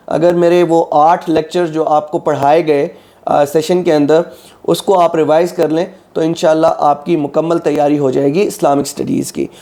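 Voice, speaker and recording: Hoarse tutor with mellow voice. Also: male voice, a little high. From Pakistan. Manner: methodical, repeats "ठीक है" often. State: slightly sore throat, clears it. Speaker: exam prep tutor. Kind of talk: instructional lecture.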